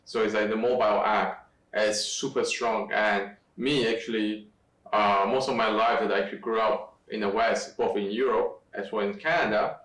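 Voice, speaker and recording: A middle-aged man from Taiwan, a guest in an interview, speaking in a halting way with many fillers and restarts. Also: lowish voice, fairly even intonation, a bit bright. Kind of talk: conversational interview. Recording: echoey room, distant from mic.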